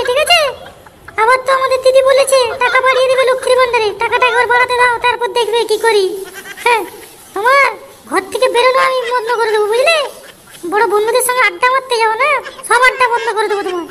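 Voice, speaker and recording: A man voicing a furious, bullying cartoon wife who scolds and threatens, with a West Bengal accent and a pitched-up voice effect. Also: steady tone, fast, noisy background. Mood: domineering. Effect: pitched-up voice changer. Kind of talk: amateur comic cartoon dubbing.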